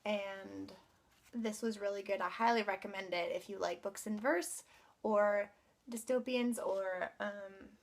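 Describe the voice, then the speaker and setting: A woman's voice: mid-range voice, steady tone, a little slow, vocal fry. A woman giving a casual book review to viewers as a vlogger, speaking in informal, loosely hedged phrases.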